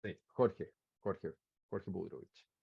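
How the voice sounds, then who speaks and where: Low, creaky male moderator, dull sound. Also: no room sound. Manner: hesitant, pausing, restarting phrases. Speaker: moderator of an academic session. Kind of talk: informal moderating of a discussion.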